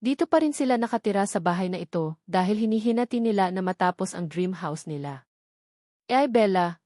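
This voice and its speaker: A woman's voice: medium pitch, a little mellow, dry recording, studio quality. A woman reading a first-person romance novel as a scripted audiobook narration.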